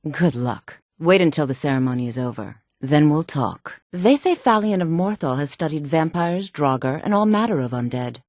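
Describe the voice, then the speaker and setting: A woman's voice: lively intonation, normal speed, few pauses, a little mellow, no room sound, clean studio sound. An actress playing a sultry, hard-edged, guarded woman in a fantasy video game, with cool, pointed delivery. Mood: pleased, then businesslike. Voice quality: sultry, low-pitched. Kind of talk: video game character voice lines.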